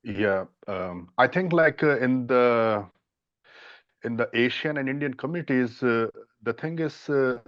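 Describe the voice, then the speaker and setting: A man's voice: medium pitch, no echo. Guy on a panel, stops and restarts a lot, uh uh, sounds a bit dull and dry. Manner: halting, many fillers and restarts. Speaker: dating coach, panelist. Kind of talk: casual panel discussion.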